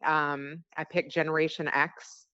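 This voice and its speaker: A woman's voice: sits low, little pitch movement, measured pace, sharp tone, clean tone, no echo. Chatty American woman on a muffled phone line. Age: 40s to 50s. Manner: chatty, informal. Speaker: podcast guest and fellow podcaster. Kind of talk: casual phone chat. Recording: muffled phone call, dry.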